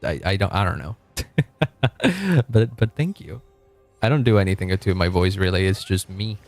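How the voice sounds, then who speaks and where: A man from Argentina streaming casually, rambling, correcting himself and chuckling as he reads chat, with a deep voice. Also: moderate pace, a little mellow, no echo, soft background music.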